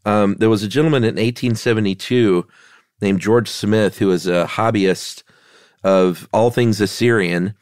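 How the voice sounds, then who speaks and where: A man's voice: lowish voice, steady tone, a little slow, a little mellow, no room sound, clean studio sound. A man co-hosting a podcast, stuffy from a head cold, talking in an easygoing, self-deprecating way. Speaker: podcast co-host. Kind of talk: casual chat.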